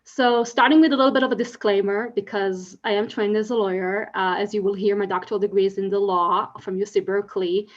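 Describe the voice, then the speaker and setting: A woman's voice: medium pitch, fairly even intonation, a little fast, few pauses, dull audio. A woman from Israel with an Israeli accent, giving an earnest conference presentation full of fillers and restarts. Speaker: conference speaker.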